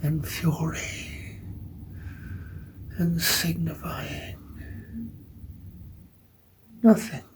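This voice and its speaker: A woman playing an anguished, world-weary tragic monarch in a Shakespearean soliloquy, moving between breathy half-whispers and forceful delivery. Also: low-pitched, fairly even intonation, drawn-out words, pauses often. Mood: bleak, anguished. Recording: noticeable background noise.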